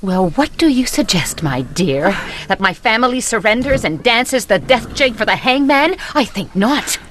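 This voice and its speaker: A woman's voice: fairly high pitch, lively intonation, normal speed, a bit bright. A vengeful killer mother in old radio drama. Her voice swoops through theatrical, falsely genteel politeness and cold menace, over faint broadcast noise. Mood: cold contempt. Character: sly murderous mother, genteel yet bitterly vengeful. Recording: noisy vintage radio broadcast.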